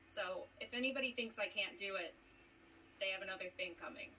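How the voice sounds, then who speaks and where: Bright-voiced woman, distant noisy mic. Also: mid-range voice, fairly quick. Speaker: returning trivia competitor issuing a challenge. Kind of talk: casual spoken announcement. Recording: distant mic with faint noise.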